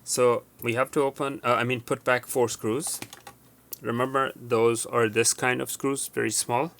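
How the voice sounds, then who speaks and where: A man with a mid-pitched voice walks through a repair in a casual, practical tutorial style. He goes one step at a time, haltingly, restating himself as he goes. Clear background noise with clicks runs behind him. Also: little pitch movement, fairly bright tone. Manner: step-by-step, halting with self-corrections. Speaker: tutorial presenter. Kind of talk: casual hands-on repair demonstration.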